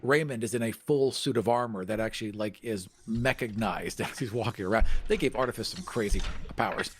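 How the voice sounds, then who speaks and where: A man's voice: on the low side, a little fast, slight background noise. A man playing a tabletop game, casually improvising descriptions of what his characters do.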